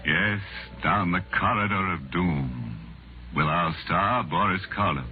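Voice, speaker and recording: Slow, deep, ghoulish radio horror host. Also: male voice, a little hiss or hum. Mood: wry, macabre. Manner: macabre, wry, punning, drawling. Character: ghoulish tongue-in-cheek male horror show host. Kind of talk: 1940s radio horror introduction. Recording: tinny 1940s radio recording with music.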